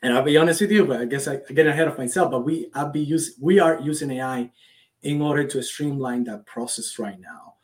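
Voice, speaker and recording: A man's voice: mid-range voice, fairly quick. A man from Cuba with a Cuban accent, a native Spanish speaker, answering interview questions with earnest enthusiasm. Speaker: guest, startup founder.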